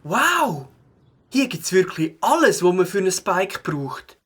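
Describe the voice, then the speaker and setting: A woman voicing a cheerful little beaver with a slightly husky voice and a Swiss German accent in a children's audio play. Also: on the low side, slow pace, heavily acted. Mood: excited. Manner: expressive, exclamatory. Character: cheerful little beaver who loves biking.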